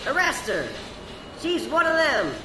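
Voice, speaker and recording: Man playing shouting squad leader, very high, echoey. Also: very animated, measured pace, background noise, exaggerated voice. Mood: commanding. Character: male police squad leader barking orders.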